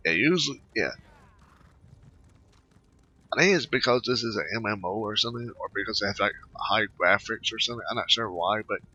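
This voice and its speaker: Very deep, sharp-edged male gamer. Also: expressive, brisk, pauses often, breathy edge. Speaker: gamer, let's-play host. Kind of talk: casual game playthrough commentary, reading some dialogue aloud. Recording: dull, band-limited close dry microphone.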